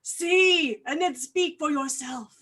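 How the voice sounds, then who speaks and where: A woman's voice: high voice, takes it slowly, a bit bright. A woman acting a frantic, vengeful doctor hunting a murderer in a Shakespearean staged reading, with grand, declamatory delivery. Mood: horrified. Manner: declamatory, archaic diction, grandly dramatic.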